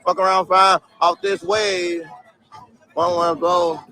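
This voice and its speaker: A man's voice: high voice, little pitch movement, takes it slowly, bright, forceful tone, soft background music. A young man livestreaming from a city street, chatting loosely with his viewers in heavy slang and swearing, reading comments aloud and laughing at them.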